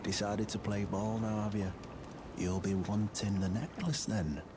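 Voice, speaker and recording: An actor playing a surly, shifty thug in a video game, blunt and slangy, with a working-class English accent. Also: male voice, on the low side, unhurried, heavy background noise, close to an everyday voice. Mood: irritated, surly. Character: gruff, shifty male thug selling boiled prawns. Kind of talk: video game character acting. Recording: loud music behind the voice.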